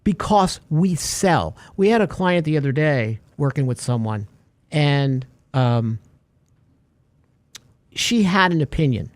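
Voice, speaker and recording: A man's voice: a little high, slow, no echo. A man hosting a radio talk show, chatting casually and acting out little stories as he goes. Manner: animated storyteller, acts out little scenes.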